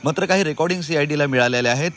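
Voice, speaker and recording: Very fast-talking man reporting news. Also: fairly high pitch, a bit bright, slight background noise. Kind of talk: live unscripted news report.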